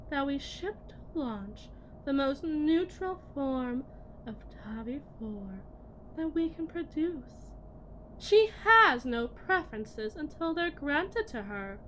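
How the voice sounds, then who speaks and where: A woman playing a cold, condescending lead technician in a scripted ASMR roleplay, speaking in a measured way that drifts into whispers. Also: sits high, slight background noise, full character voice, poor audio. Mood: stern, condescending. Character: cold, condescending female lead technician at shady tech company.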